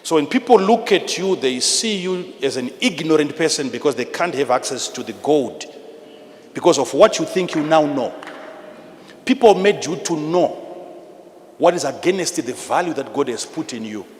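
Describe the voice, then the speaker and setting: A man with a Zimbabwean accent preaching a sermon in an emphatic, repetitive, teacherly manner, swinging between hushed and loud delivery. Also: a little high, lively intonation, moderate pace. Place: large church hall with PA. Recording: live in a large reverberant hall, noisy.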